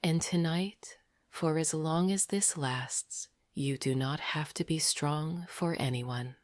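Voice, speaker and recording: A woman narrating a scripted sleep meditation in a soft, breathy near-whisper, gentle and deliberate. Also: deep voice, no echo, studio quality.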